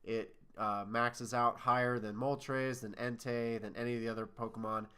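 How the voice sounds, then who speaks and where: A man's voice: mid-range voice, level tone, normal speed, pushed and bright, smooth. A man co-hosting a casual podcast chat, sounding nasal and congested from a cold, rambling and correcting himself as he talks. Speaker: podcast co-host.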